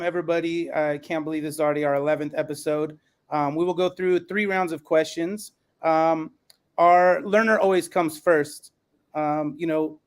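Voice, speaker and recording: A man's voice: on the high side, clear, resonant tone. A man moderating an educational podcast, speaking in an encouraging, affirming way as he puts questions to guests.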